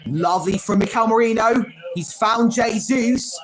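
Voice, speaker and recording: A man's voice: sits high, measured pace, faint music behind. A man hosting a live football watchalong stream, calling each pass by player name and chatting with viewers between plays. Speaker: livestream host.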